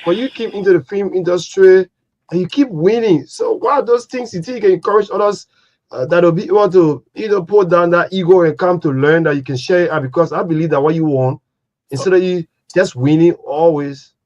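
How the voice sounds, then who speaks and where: Male host, fairly high voice, muffled lo-fi sound, stammers, says "wow" a lot. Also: a little fast, a little mellow. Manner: stammering restarts, frequent "wow". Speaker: talk show host. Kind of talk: casual interview. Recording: dull lo-fi sound.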